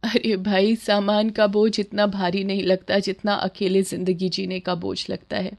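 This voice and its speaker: A woman's voice: mid-range voice, little pitch movement, measured pace, creaky, a little mellow. A woman voicing a poor widowed young mother in a moral story, plaintive, earnest and humble. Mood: weary, resigned. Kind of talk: storybook dialogue in Hindi.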